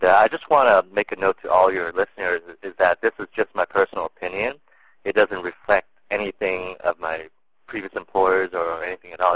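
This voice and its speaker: Low-voiced man answering interview questions. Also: fairly bright tone, no echo, poor audio. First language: English and Cantonese. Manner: thoughtful, many fillers and restarts. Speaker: interview guest.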